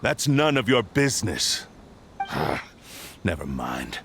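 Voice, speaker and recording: A man's voice: low-pitched, big pitch swings, slow pace, fairly bright tone, slight background noise, exaggerated voice. A man voicing a proud, embittered male sword spirit in a video game, solemn, formal and curt. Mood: brusque, dismissive. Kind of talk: dramatic video game acting. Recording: music behind the voice.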